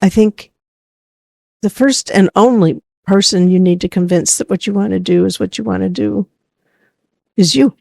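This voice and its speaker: A woman co-hosting a podcast in a reflective, conversational style, building her points deliberately in repeated phrases. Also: mid-range voice, creaky, a little mellow, no echo, clean studio sound. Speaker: podcast co-host.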